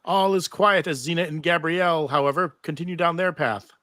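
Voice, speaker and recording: A man reads stage directions aloud as narrator of a live table read. His voice is bright and forceful, pitched a bit high, with a dry, close sound. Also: a little fast, no room sound.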